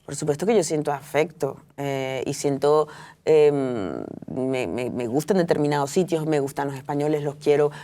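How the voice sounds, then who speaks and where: A woman's voice: low-pitched, moderate pace, mellow. A middle-aged woman, speaking reflectively as a guest in an interview, often restarting her sentences. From Venezuela. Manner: reflective, frequent restarts and fillers. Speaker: author and guest. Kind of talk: interview conversation.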